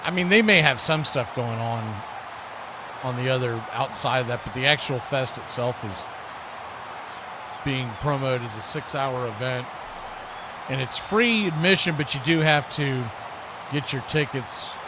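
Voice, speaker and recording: A man's voice: medium pitch, a little slow, long pauses, very noisy. An American man working as a sports host and play-by-play commentator on a live football watch party.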